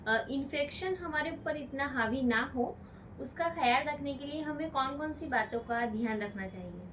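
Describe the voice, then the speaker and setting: A woman radio host with a fairly high voice puts polite questions to a guest for her listeners. She sounds a little back from the microphone. Faint noise runs underneath. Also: moderate pace, a bit bright, recorded from a distance, low-quality recording. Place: radio studio.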